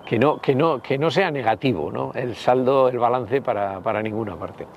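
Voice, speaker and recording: A man in his sixties with a Basque Spanish accent, rambling and halting as he casually tells anecdotes in an interview. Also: medium pitch, dull audio, slight background noise. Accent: Spanish from the Basque Country. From Basque Country, Spain. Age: 60s. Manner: rambling, halting, anecdotal, peppered with tag questions. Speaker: interview guest, political party member.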